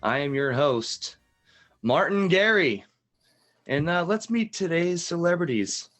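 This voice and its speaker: A man playing a chatty local game show host in an improvised parody, with halting, polite patter and dry asides. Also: a little high, animated, fairly bright tone, faint music behind. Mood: welcoming. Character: chatty male host of a small-town public access game show.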